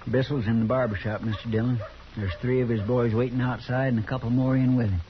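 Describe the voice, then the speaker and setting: An actor playing a loyal, worried deputy sidekick with a folksy manner, on an old 1950s radio drama. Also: male voice, medium pitch, steady tone, fast, natural voice. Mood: earnest. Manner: folksy, anxious. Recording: dull 1950s radio broadcast.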